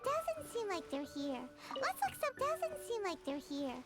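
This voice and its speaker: A woman voicing a tiny, childlike, chirpy fairy companion in a video game, with a squeaky voice. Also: very animated, unhurried, heavily acted. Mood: cheerful, eager. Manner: speaks of self in third person. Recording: loud game music behind.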